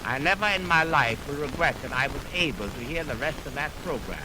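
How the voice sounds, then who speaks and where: A man's voice: fairly high pitch, expressive. Noisy 1920s radio recording, formal male lecturer. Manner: formal, oratorical, measured phrasing. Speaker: radio host introducing orchestral music. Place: radio broadcast. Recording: noisy, crackly 1920s optical film radio aircheck.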